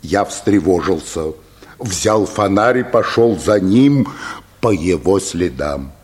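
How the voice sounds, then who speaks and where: An actor playing a grave, anxious manservant in a radio play, speaking in a halting, solemn manner. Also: male voice, low voice, lively intonation, darkish tone, breathy edge, full character voice. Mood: grave, subdued. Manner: slow, halting, solemn delivery. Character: grave, anxious manservant bringing news of death.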